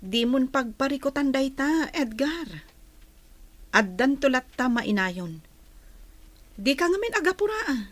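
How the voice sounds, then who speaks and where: A woman playing a steady, reassuring but insistent comrade in a secretive group, in an Ilocano radio drama. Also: high voice, unhurried, long pauses, no echo, exaggerated voice.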